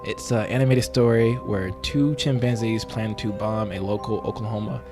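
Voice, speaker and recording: A man playing an earnest, awkward amateur podcast host, hesitant and rambling with a deadpan delivery, in a mock-investigative interview. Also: on the low side, moderate pace, music in the background, natural voice.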